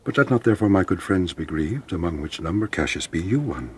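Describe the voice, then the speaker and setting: An actor playing a troubled, reserved Roman nobleman in a classical radio drama, with grave, measured verse delivery. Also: low voice, expressive, a little hiss or hum.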